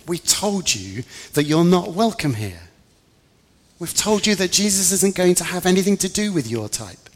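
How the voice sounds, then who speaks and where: A man's voice: medium pitch, unhurried, gravelly. Man playing a scornful scolding disciple, echoing hall. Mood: scornful, dismissive. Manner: scolding, dismissive. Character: scornful male disciple gruffly turning an outsider away. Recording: reverberant large hall.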